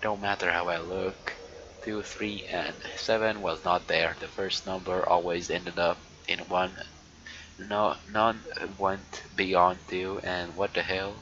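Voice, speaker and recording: A man live streaming a visual novel, reading it aloud haltingly and muttering casual asides. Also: low-pitched, level tone, sharp tone. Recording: dull lo-fi mic with noise.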